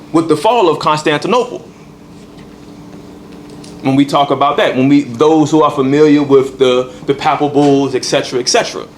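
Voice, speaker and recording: A man's voice: fairly high pitch, a little hiss or hum. A man teaching a congregation in a temple hall, repeating key points and checking in with "all right". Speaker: religious teacher.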